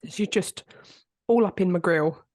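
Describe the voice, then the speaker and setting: A woman's voice: fairly low pitch, a little slow, a little mellow, no echo, clean studio sound. A woman hosting a true-crime podcast, chatting casually with a congested, bunged-up voice and plenty of filler words. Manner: chatty, rambling, with frequent fillers. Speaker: podcast host.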